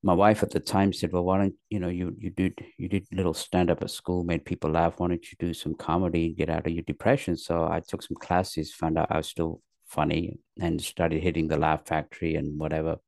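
A middle-aged man from Pakistan, raised in England, telling his story as a guest in a casual interview, rambling and halting with many fillers and restarts. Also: low voice, little pitch movement, gravelly, darkish tone, no room sound, clean studio sound. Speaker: guest, actor and comedian.

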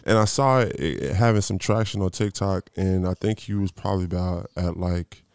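A man's voice: deep voice, a little fast, smooth, no echo, clean studio sound. A man hosting a podcast, telling a rambling, slangy story with lots of restarts. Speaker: podcast host. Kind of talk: casual interview intro.

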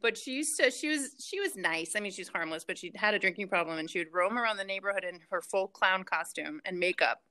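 Bright-voiced woman telling a story. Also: medium pitch, fast talker, few pauses. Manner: laughing, animated storyteller. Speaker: guest. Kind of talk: casual chat, storytelling.

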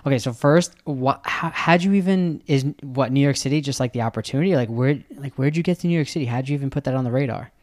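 A man's voice: medium pitch, quick pace, few pauses, darkish tone. A man hosting a travel podcast, chatting casually with a guest and often restarting his questions mid-sentence. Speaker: podcast host. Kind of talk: casual interview chat.

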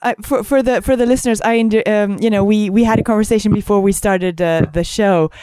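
A woman from Greece hosting a casual conversation, picking her words haltingly with frequent pauses. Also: mid-range voice, fairly even intonation, warm tone, clean tone, dry recording. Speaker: podcast host.

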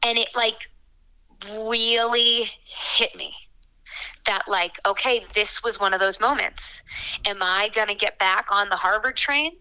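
A young woman singer-songwriter answering interview questions in a chatty, frank way, with quick asides and fillers. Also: medium pitch, bright, forceful tone, clean tone, rough recording.

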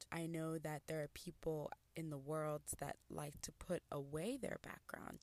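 A woman from Congo hosts a personal podcast, talking in an earnest, reflective way. Her voice sits low. The recording is dry and close, with no room sound. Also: dry recording. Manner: earnest, reflective, soft-spoken with occasional laughs. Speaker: podcast host. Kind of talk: personal monologue.

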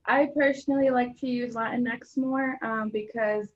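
A woman's voice: a little high, steady tone, normal speed. Young woman guest, earnest, lots of ums, dull lo-fi recording. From Mexican household in Atlanta, Georgia. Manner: earnest, frequent ums and you knows. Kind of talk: casual interview answers.

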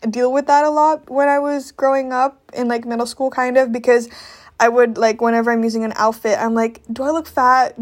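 A young woman from Indonesia talking casually and candidly to listeners as a podcast host, in an informal, confiding way with slang. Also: on the high side. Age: young adult. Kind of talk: casual personal talk to listeners.

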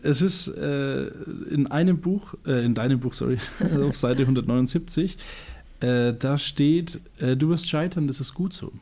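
A man interviewing a guest, asking questions casually and haltingly, with fillers and self-corrections. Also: medium pitch, warm tone.

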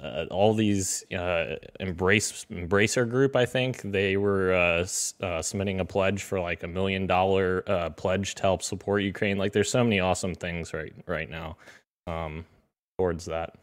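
A man's voice: low-pitched, moderate pace. A man co-hosting a podcast, talking casually in a rambling way with many fillers. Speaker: podcast co-host.

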